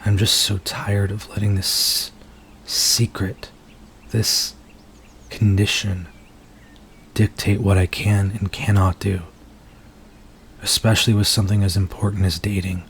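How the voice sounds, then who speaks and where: A man playing a nervous, earnest werewolf in an intimate ASMR roleplay, speaking softly and haltingly as he confides in his date. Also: on the low side, level tone, slight background noise. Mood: apologetic, earnest. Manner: soft-spoken, hesitant, halting, earnest.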